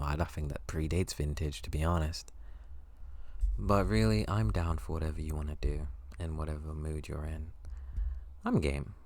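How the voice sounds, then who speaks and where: A man playing an excitable, affectionate young best friend with a secret crush in an ASMR roleplay, teasing and bashful. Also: extremely low pitch, vocal fry, heavily acted. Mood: bashful, easygoing. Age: young adult.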